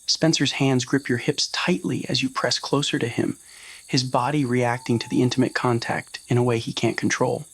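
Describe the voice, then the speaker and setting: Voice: medium pitch, fairly even intonation, moderate pace, low-quality recording. A narrator reading a scripted romantic roleplay story in the second person, voicing the scene as well as the dialogue. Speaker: narrator of fan roleplay audio stories.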